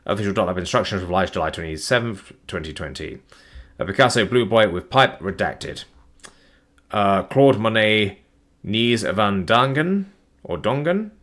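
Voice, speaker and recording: A man with a somewhat low voice reads long file listings on a stream in a flat, plodding rhythm. He sounds worn out, and his voice is beginning to go. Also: moderate pace. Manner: flat, plodding reading of numbers with weary asides. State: tired, voice starting to go. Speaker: document narrator.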